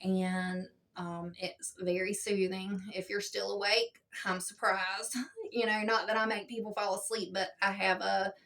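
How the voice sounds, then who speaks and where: Smooth-voiced woman giving gentle guidance. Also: little pitch movement, distant mic. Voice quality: smooth, low-toned soothing voice. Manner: gentle, unhurried, checks in with frequent "okay?". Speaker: spiritual teacher. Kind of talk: casual spiritual self-help talk.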